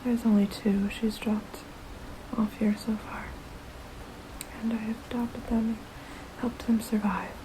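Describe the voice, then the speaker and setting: A woman voicing a gently protective, motherly vampire in a soft, breathy ASMR whisper, casual and soothing. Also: a little high, level tone, noisy background, natural voice. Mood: gentle, reassuring. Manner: hesitant, casual, soothing, unhurried.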